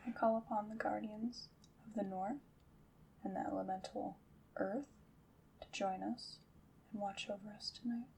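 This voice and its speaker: A woman playing a calm witch in an ASMR roleplay, leading a ritual in a hushed, soothing, deliberate whisper. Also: mid-range voice, creaky, slight background noise, lightly acted, low-quality recording. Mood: solemn, incantatory.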